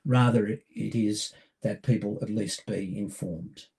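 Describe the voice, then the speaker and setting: A man giving a public lecture, croaky from a cold, measured and often pausing with ums. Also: fairly low pitch, steady tone, darkish tone. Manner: measured, hesitant with many ums. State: hoarse from a cold. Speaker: barrister giving a public talk.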